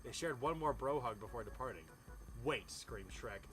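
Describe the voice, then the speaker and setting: A man's voice: mid-range voice, expressive, a little fast, background noise. A man reading a story aloud in storybook style, amused and incredulous, given to breaking off with his own comments. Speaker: reader of a fan fiction story. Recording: music playing behind the voice. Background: music.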